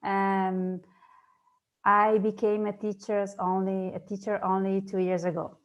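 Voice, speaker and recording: Italian school teacher, female, unhurried and halting. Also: medium pitch, little pitch movement, lo-fi sound. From Italy. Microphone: video call.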